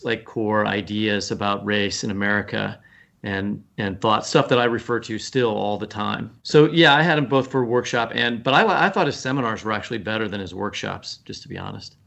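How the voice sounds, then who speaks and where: A man's voice: on the low side, vocal fry, fairly bright tone. A man guesting as a writer on a literary podcast, talking earnestly and thinking aloud as he explains. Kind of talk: conversational literary podcast discussion.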